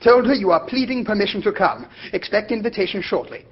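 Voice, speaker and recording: A man's voice: lively intonation, fast talker, breathy edge. A man playing an anxious, fussy young man who talks in a clipped, telegram-style way. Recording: dull lo-fi recording with faint rumble.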